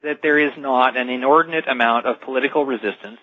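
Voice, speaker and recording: A man talks as a guest expert on a radio show. His medium-pitched voice explains things conversationally. The sound is dull and lo-fi, with very little room around it. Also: quick pace, no room sound. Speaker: talk radio guest, futurist.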